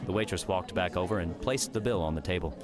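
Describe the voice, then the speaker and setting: A man with a low voice narrates an audiobook story from a script. Music plays clearly behind his reading. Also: fast talker.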